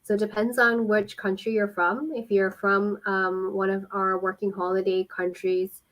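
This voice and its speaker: A woman working as an immigration consultant, explaining things helpfully and informally in a live question-and-answer style, with frequent pauses. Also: mid-range voice, little pitch movement, a bit bright, low-quality recording.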